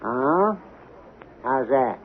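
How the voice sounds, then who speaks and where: A reserved, dismissive man of the Old West, American in accent and middling in pitch. He is curt and hesitant, on a thin vintage radio recording with faint noise. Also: very animated, very slow, phone-quality sound. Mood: guarded. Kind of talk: 1970s radio drama acting.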